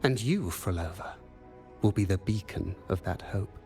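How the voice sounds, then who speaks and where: An actor playing a grandiose leader of a secret cause in a video game, making solemn, theatrical speeches. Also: male voice, low-pitched, lively intonation, normal speed. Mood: solemn, assured. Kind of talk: scripted video game voice acting. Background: loud game music.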